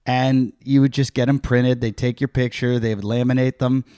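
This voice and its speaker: A man's voice: mid-range voice, level tone, moderate pace, warm tone, clear, resonant tone, dry recording, studio quality. A middle-aged man from Pittsburgh hosting a podcast, telling self-mocking anecdotes in a loose, rambling way and acting out other people's lines. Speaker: podcast host, comedian. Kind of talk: casual storytelling chat.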